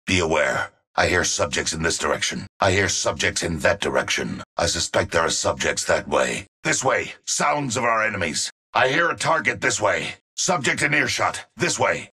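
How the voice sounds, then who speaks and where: An actor playing a stern, watchful operative with a raspy voice, giving formal, clipped callouts in a video game. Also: male voice, low-pitched, sharp tone, heavily acted.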